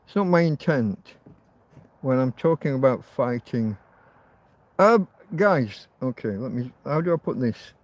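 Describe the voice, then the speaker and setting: A man's voice: mid-range voice, expressive, a little slow, slight background noise. Sweary middle-aged man rambling casually. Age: 50s. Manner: sweary, blunt. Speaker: livestream host. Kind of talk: rambling casual livestream chat. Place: outdoors in a field.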